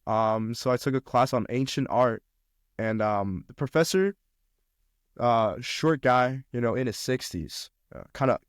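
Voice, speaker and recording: A man's voice: medium pitch, a little slow, clean tone, dry recording, studio quality. A young man from China, a podcast guest chatting casually about college life, with lots of fillers and restarts. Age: young adult. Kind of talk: casual conversation.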